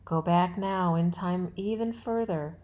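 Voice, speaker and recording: A woman leads a guided meditation in a fairly low voice. She speaks very slowly, giving relaxation instructions in short, deliberate phrases with a soothing manner. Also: a little mellow. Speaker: yoga nidra instructor.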